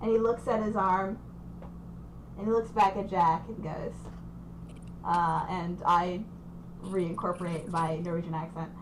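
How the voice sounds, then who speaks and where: A woman's voice: on the low side, fairly even intonation, measured pace, pauses often, background noise. A young woman running a tabletop game over voice chat, describing scenes in a rambling way with many fillers. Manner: rambling, lots of fillers and restarts. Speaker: game master.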